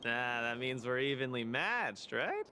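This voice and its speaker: A man's voice: medium pitch, big pitch swings, a little slow, sharp tone. An actor voicing a cheerful, boastful young male underworld fighter in video game dialogue who laughs things off.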